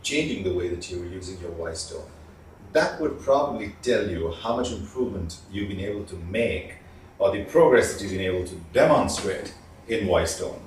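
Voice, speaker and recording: A man giving an instructional talk as a communication trainer, explaining with emphatic stressed words and pauses. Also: deep voice, lively intonation. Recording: echoey room, distant mic, faint noise.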